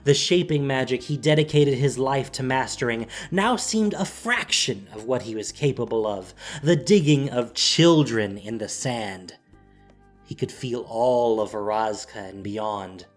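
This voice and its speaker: A male narrator reads scripted fantasy with drama. His delivery is slow and deliberate, with weighty pauses, at a medium pitch. Soft music plays faintly behind him. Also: lively intonation.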